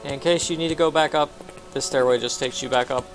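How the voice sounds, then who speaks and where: A man's voice: mid-range voice, fairly even intonation, a little fast. A man giving a casual game walkthrough, narrating his own actions offhandedly in short phrases. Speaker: gameplay commentator. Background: game music.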